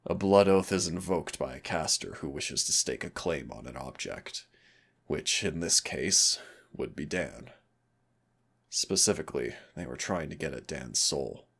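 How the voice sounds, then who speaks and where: A man playing a teasing but stern demon hunter in an audio roleplay, speaking deliberately with dramatic pauses in a gravelly, husky voice. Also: rumbling low, expressive, a bit bright, full character voice. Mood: grave, wry. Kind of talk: audio roleplay acting, intimate second-person dialogue.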